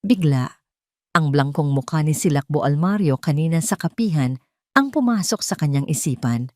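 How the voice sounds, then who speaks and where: Woman, dramatic story narration, low voice, soft dark tone, close dry sound. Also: lively intonation, moderate pace, no room sound.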